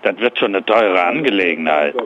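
A man's voice: low-pitched, animated, moderate pace, highs cut off. A man talking matter-of-factly on the phone, like a tradesman explaining a job to a customer. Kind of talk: phone conversation.

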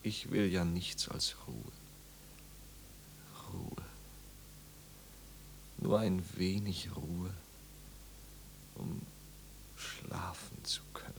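An actor playing a tormented, gentle but desperate young man sinking into madness, halting and feverish, often slipping into whispers, in 1950s radio drama style. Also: deep voice, lively intonation, vocal fry, full character voice. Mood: pleading, exhausted. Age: young adult. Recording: noisy, humming 1950s radio recording.

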